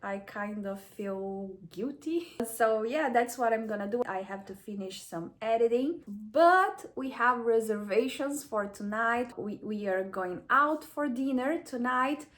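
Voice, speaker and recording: A woman's voice: on the high side, unhurried, few pauses. Chatty woman vlogger, Portuguese native speaker. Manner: chatty, relaxed, restarts words. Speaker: lifestyle vlogger. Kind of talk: casual vlog narration. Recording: soft background music.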